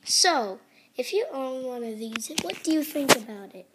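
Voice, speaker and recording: A woman who is sick and sounds raspy, hosting a casual home review vlog indoors, talking hesitantly with many restarts into a phone held close. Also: high-pitched, expressive, moderate pace, heavy background noise. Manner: hesitant, restarts often, quiet and mumbly at times. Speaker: channel host. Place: indoor carpeted room at home.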